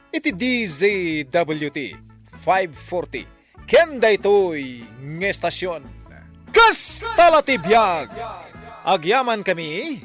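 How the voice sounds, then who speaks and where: A male radio drama announcer with a Filipino Ilocano accent. He speaks in a high, slow, theatrical voice with sweeping intonation over music. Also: a bit bright.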